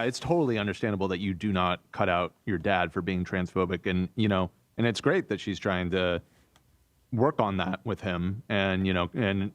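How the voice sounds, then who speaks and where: A man co-hosting a podcast, talking in a casual, measured, conversational way. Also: fairly low pitch, no echo. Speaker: podcast co-host.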